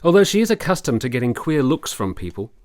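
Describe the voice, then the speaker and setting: Courteous, learned period doctor played by a man, animated intonation, dry recording, articulate and theatrical radio drama style. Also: medium pitch, quick pace, dry recording, natural voice. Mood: grave warning. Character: learned, courteous army doctor, grave and kindly.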